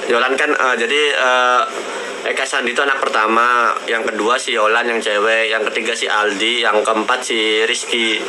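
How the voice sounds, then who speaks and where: A man's voice: mid-range voice, little pitch movement. A man chatting casually on a livestream, often repeating words as he recounts personal memories. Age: 20s. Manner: halting, repeats words and phrases. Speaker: livestream host. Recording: noisy live stream with clear background noise.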